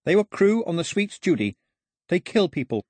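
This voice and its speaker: A man's voice: high voice, sing-song, normal speed, darkish tone, dry recording, clean studio sound, pitched above the performer's own voice. A man voicing an earnest, defiant young woman in an audiobook, urgent and articulate.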